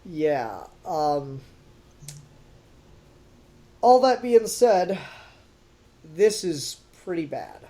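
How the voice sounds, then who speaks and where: A man's voice: high voice, sing-song, extremely slow, long pauses, dark tone. A man hosting a podcast in a casual, rambling review style with a wry, sarcastic edge. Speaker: podcast host.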